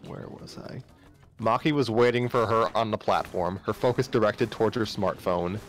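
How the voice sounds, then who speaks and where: A man with a fairly low voice narrates a story aloud for a livestream. He often stumbles and repeats phrases. Soft music plays behind him. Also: moderate pace. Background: background music.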